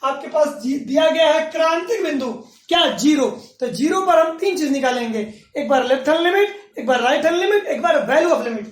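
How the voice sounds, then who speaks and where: A male math teacher giving a classroom lecture, dictating slowly and asking then answering his own questions. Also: very high-pitched, lively intonation. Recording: roomy echo from a distance.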